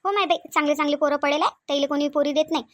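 A very high-pitched, domineering village mother-in-law , played by a woman in a Marathi cartoon comedy. She nags quickly in a Varhadi accent, firing sarcastic rhetorical questions and counting every penny. The sound is dry and close. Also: fairly even intonation, no room sound, lightly acted. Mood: disapproving. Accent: Varhadi Marathi from Vidarbha. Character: domineering, miserly, scolding rural mother-in-law.

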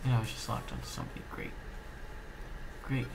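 A man with a medium-pitched voice hosts a casual gameplay commentary while slightly under the weather. He talks in halting bursts, half muttering to himself. The homemade recording has clear noise behind the voice. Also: level tone. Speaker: gaming channel host.

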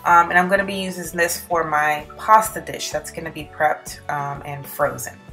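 Woman doing a casual home cooking vlog, bright clear-cut voice, chatty how-to talk, bit of music in the back. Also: sits low, steady tone, moderate pace. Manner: informal, chatty, instructive. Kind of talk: casual cook-along chat to camera. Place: home kitchen and living room, indoors.

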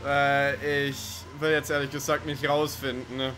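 Bright-voiced man gaming. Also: medium pitch, flat delivery, unhurried, faint music behind. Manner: rambling, sweary, joking to himself. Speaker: gaming video commentator. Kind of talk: casual let's play commentary.

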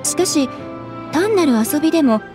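An actress playing a calm, polite, composed young townswoman in a video game. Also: high voice, normal speed, over music.

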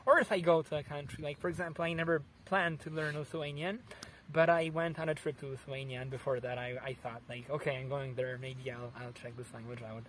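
A man in his thirties from Poland with a Polish accent, answering interview questions in a park with lively gestures and many restarts. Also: fairly high pitch, brisk, slight background noise, low-quality recording. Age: 30s. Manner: animated, gesturing, frequent restarts. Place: outdoors in a sunny park.

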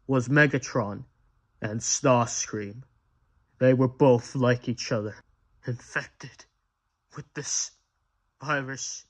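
Guy doing a scared robot scientist for analog horror, really slow, halting, haunted, average pitched. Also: fairly bright tone. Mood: dread. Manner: halting, haunted, with repeated phrases and pauses. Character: shaken robot scientist, male, recounting a horror, fearful. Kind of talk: analog horror audio log, dramatic monologue.

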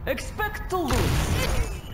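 A proud Russian soldier in a video game. Her fierce voice is high and animated, echoing with loud music behind. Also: drawn-out words, heavily acted. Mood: fierce boasting. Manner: forceful, boastful. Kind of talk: video game hero voice lines.

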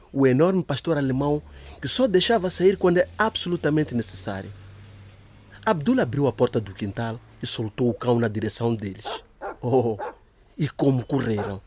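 A man narrating a scripted audio drama in a lively storytelling manner. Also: mid-range voice, normal speed, breathy edge.

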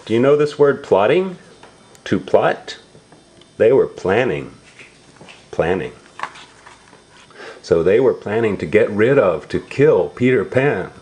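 A man with a fairly low voice reads a children's storybook aloud. His pitch swings expressively and he takes his time, repeating simple words and explaining as he goes. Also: very animated, darkish tone. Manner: simple, repetitive, drawn-out words with exclamations. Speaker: storybook reader for young relatives.

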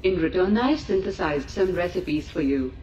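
A woman voicing a calm low AI assistant. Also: normal speed, echoey room, lo-fi sound, lightly acted. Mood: informative. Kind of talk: in-game dialogue.

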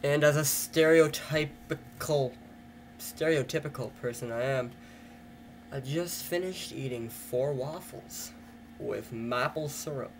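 A man's voice: medium pitch, slow pace, a little hiss or hum. A teenage boy from Canada, chatting casually and self-mockingly into a webcam in a small wood-paneled room. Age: teens. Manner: rambling, self-mocking, jokey. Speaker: vlogger. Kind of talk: casual joking webcam vlog. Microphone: webcam at desk.

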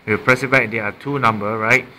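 Malaysian man, casual gadget demo, sharp bright tone, stop-start delivery, faint noise on a handheld camera mic. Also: medium pitch, fairly even intonation, rough recording. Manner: halting, often repeating and correcting himself. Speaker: amateur product reviewer. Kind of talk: casual unscripted gadget demonstration. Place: small room indoors at a desk. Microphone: built-in mic of a handheld camera.

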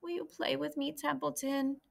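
A woman's voice: high voice, fairly even intonation, moderate pace, gravelly, pitched above the performer's own voice. A woman voicing a lonely young male pig who whines and pleads. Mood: pleading. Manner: whiny, pleading.

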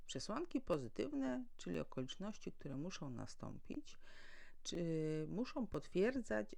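A woman's voice: on the low side, creaky. A woman presenting a formal, prepared legal explainer as an educator, setting out points one by one in a didactic way.

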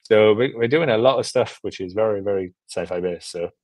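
A man in his thirties with a fairly low voice and a dry, close sound. He talks casually as a filmmaker being interviewed over a video call, and often doubles up words for emphasis. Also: fairly quick, a little mellow, dry recording. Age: 30s. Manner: repeats words and phrases for emphasis. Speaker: film director and producer, interview guest. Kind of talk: casual chat. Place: small furnished room at home, indoors. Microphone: video call with earbuds.

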